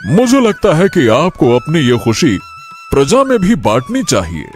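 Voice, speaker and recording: A man playing a deferential royal sage in a cartoon story, speaking in a measured, respectful way. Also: a little high, huge pitch swings, a little mellow, faint music behind. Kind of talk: Hindi cartoon story acting.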